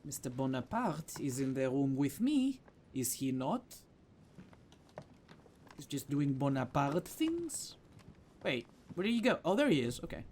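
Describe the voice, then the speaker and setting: A man's voice: mid-range voice, sing-song, measured pace, long pauses, a little mellow, a little hiss or hum. A man giving casual, theatrical and exclamatory commentary while playing a video game.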